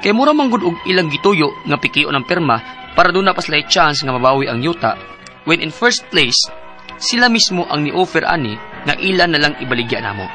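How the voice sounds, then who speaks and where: A man's voice: fairly high pitch, big pitch swings, a little fast, sharp tone, soft background music. An actor with a Cebuano Filipino accent playing a worried, earnest husband in his thirties who seeks advice in a radio drama. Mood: worried, pleading. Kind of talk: radio drama acting and letter narration.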